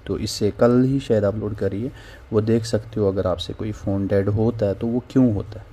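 Guy talking thru a phone unlock tool on a screen recording, lowish mellow voice, keeps saying theek hai. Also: fairly even intonation, normal speed, slightly rough. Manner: informal, repeats "theek hai" as a filler. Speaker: phone repair tutorial host. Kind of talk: casual screen-recorded software walkthrough. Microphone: voice-over on screen recording.

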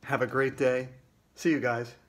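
A man's voice: medium pitch, little pitch movement, measured pace. A man in his sixties, a children's author, casually explaining trivia to kids.

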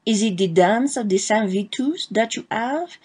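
A woman voicing a vain elderly French woman with a French accent, who speaks broken English slowly and primly. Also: medium pitch, darkish tone, husky. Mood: indignant. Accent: French (put on). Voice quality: broken English, slow and mincing.

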